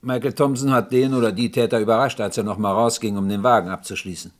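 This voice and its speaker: An actor playing a courteous, methodical police inspector in a German radio crime drama, speaking in a measured, probing, formal way. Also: male voice, lowish voice, steady tone, close to an everyday voice. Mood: matter-of-fact, probing.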